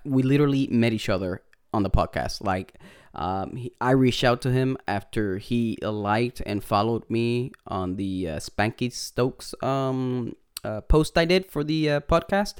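A man's voice: mid-range voice, a little slow, clear, resonant tone. A man with a Puerto Rican accent hosting a podcast, rambling casually with swearing, restarts and Spanglish. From Puerto Rico. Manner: rambling, profane, laughs often, restarts, mixes Spanish into English. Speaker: podcast host. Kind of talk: casual podcast intro and chat.

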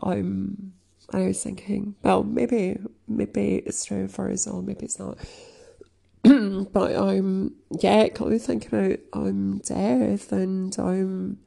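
A woman chatting casually, sniffly from crying, halting with many fillers and frequent throat clearing. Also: mid-range voice, level tone, mellow. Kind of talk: casual rambling chat.

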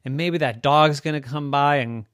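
Man as a scolding, high-pitched toy car. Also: normal speed, fairly bright tone, clear, resonant tone, dry recording, clean studio sound, natural voice. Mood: resigned. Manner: scolding, argumentative. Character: grumbling, weary neighbor cars bickering in a jar.

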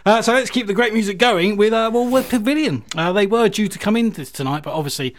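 A man's voice: sits high, quick pace. A British man hosting a music radio show in a casual, enthusiastic, chatty and effusive way. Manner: chatty, effusive, with repeated emphatic praise.

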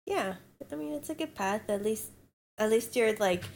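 A woman's voice: a little high, expressive, normal speed, creaky, darkish tone. A young woman co-hosting a casual podcast chat, sniffly from allergies, blunt and swearing freely. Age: young adult. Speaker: podcast co-host.

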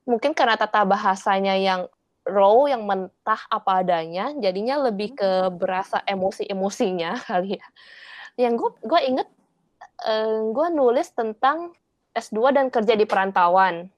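A young Indonesian woman with talks in a loose, candid way. She laughs often and slips English words into her sentences. Her voice is mid-pitched and sits dry and close on the mic. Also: unhurried, dry recording. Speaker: guest. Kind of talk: casual podcast chat.

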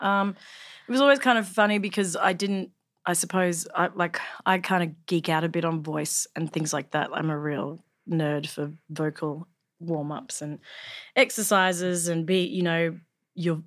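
A woman's voice: on the low side, fairly bright tone. A young Australian woman with an Australian accent, a trained actor chatting casually and laughing often. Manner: laughs often, grounded and reflective. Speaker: actor and podcast co-host. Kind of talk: casual chat.

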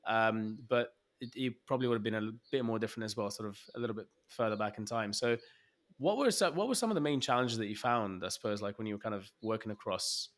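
A man's voice: lowish voice, fast. A man from Egypt hosting a podcast interview over a video call, chatty and hesitant, with frequent restarts and fillers. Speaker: podcast host. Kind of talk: casual interview chat.

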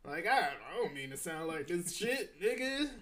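A man hosting a podcast in casual, animated chat, swearing freely and laughing often. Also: on the high side, normal speed, a little hiss or hum. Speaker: podcast host.

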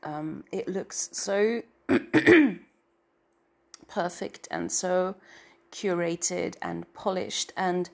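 A woman's voice: lowish voice, extremely slow, gravelly, long pauses. A middle-aged woman with a nasal, stuffy-nosed voice gives a rambling, self-deprecating personal update as a writer and story narrator. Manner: meandering and self-deprecating, trailing off into filler.

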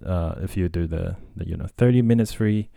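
A man's voice: low-pitched, fairly quick, gravelly, mellow, no room sound, clean studio sound. A man from Japan with a Japanese accent, talking casually and hesitantly on his own podcast, with many fillers and restarts. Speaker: podcast host and language tutor. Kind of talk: casual solo talk.

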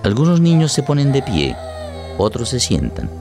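A man's voice: mid-range voice, huge pitch swings, moderate pace, music in the background. A man narrating a scripted radio drama in a dramatic storytelling style.

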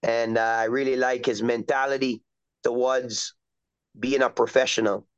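A middle-aged man from Trinidad and Tobago, a soccer coach talking as a guest in a relaxed, rambling way with lots of fillers. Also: mid-range voice, moderate pace, clear, resonant tone. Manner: easygoing, rambling with many fillers. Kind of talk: casual interview chat. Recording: dull lo-fi recording.

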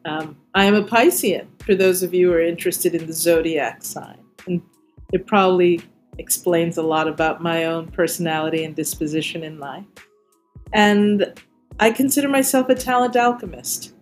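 A middle-aged American woman from New York City, talking reflectively as an interview guest in measured, articulate and deliberate phrases. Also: lowish voice, faint music behind. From New York City, United States. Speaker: interview guest, corporate diversity executive. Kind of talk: reflective personal talk in an interview.

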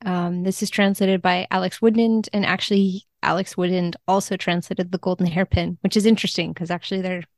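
A woman's voice: on the low side, flat delivery, a little fast, hardly any pauses, a little mellow, dry recording, clean studio sound. A woman talking casually and enthusiastically as a guest on a podcast. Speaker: podcast guest, book translator. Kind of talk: casual chat.

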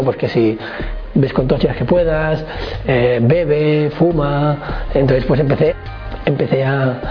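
A man's voice: medium pitch, lo-fi sound. A man in his twenties from Barcelona, telling his life story as an interview guest in a rambling way, with many restarts. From Barcelona, Spain. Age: 20s. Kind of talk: casual personal testimony.

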